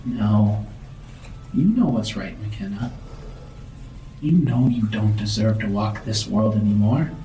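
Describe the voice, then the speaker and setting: A man voicing a sinister old woman, a virus haunting a mind, speaking slowly and menacingly in a tabletop game. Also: lowish voice, dark tone, reverberant, distant mic, a little hiss or hum, voice pushed down, low-quality recording. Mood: menacing, accusing. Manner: slow, menacing, deliberate. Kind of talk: tabletop roleplay villain.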